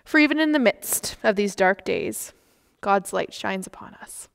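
A woman's voice: medium pitch, expressive, measured pace, vocal fry. A woman reading scripted liturgy as a worship leader in church. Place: church sanctuary, indoors. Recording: reverberant church hall.

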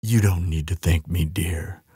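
A man playing a huge, gentle giant in an audio roleplay, soft and breathy, speaking in a soothing, doting, unhurried way to the listener. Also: low-pitched, no room sound, studio quality, heavily acted. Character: huge gentle male giant, tender and caring. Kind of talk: audio roleplay acting, softly spoken to the listener.

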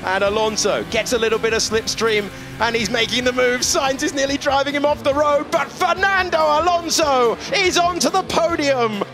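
A man's voice: very high-pitched, normal speed, hardly any pauses, over music. A man delivering live motorsport commentary as a race commentator.

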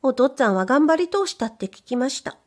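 A woman voicing a shy young maidservant who speaks in a soft, bashful whisper. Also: high voice, very animated, fast talker, voice pushed up.

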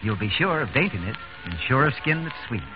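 A man's voice: medium pitch, very animated, a little fast, over music. A male announcer reading a scripted 1930s radio advertisement in a polished, persuasive manner. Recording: dull old radio recording.

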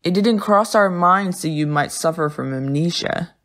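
A sober, loyal funfair woman voiced by a woman in an audio drama. She speaks with a slightly low pitch, measured and matter-of-fact. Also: fairly bright tone, natural voice. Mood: regretful.

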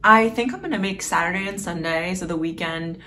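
A woman's voice: on the low side, a little fast, bright, forceful tone, distant mic, slight background noise. A young adult woman talking in an informal, self-deprecating way, as in a casual personal vlog. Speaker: novelist vlogger. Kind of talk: casual vlog chat to camera. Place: small home room with desk.

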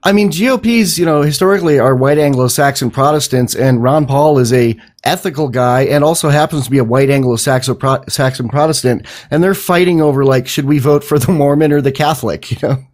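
A man's voice: medium pitch, brisk, few pauses, a little mellow. A man hosting a podcast in casual conversation, talking in a rambling, enthusiastic way with some swearing. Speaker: podcast host.

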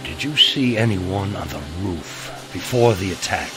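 An actor playing a grim, vengeful old gunslinger turned preacher in a video game, gravelly and solemn, quoting scripture with menace. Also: male voice, low-pitched, lively intonation, a little slow, music in the background. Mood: stern, solemn. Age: older.

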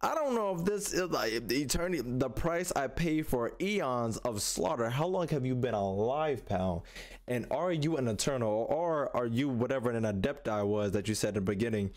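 A man's voice: medium pitch, lively intonation, moderate pace. A man in his twenties with an American accent, giving casual, slangy commentary as a reaction host and repeating phrases for emphasis. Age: 20s. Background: game trailer music and sound.

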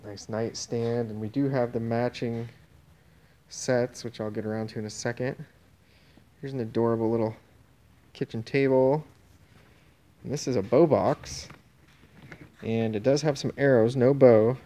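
A man's voice: medium pitch, steady tone, unhurried, long pauses, dark tone. A man casually walking through a warehouse with a phone camera, listing auction items in an offhand way that trails off mid-phrase. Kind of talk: casual walkthrough narration. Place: indoor warehouse and tent. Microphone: handheld phone camera.

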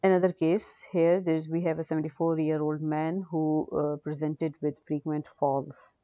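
Low, dark-voiced woman lecturing. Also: flat delivery, normal speed, no echo. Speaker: radiology lecturer. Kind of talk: unscripted case-review lecture. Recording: dull, dry lo-fi audio.

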